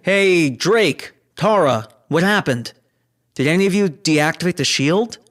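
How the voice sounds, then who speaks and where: An actor playing a skeptical, businesslike space station operator in a sci-fi audio drama. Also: male voice, fairly high pitch, expressive, a little slow, a bit bright. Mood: alarmed.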